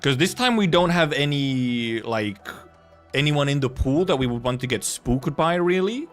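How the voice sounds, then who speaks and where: A man's voice: mid-range voice, expressive, normal speed, faint music behind. A man chatting casually on a game livestream, rambling and repeating himself as he talks. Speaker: game streamer.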